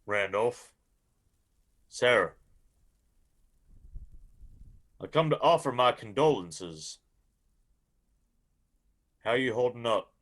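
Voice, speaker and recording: A man playing a blunt, grimly firm country lawman in a radio drama, with an Appalachian accent and a measured, folksy manner. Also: medium pitch, animated, fairly bright tone. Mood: somber.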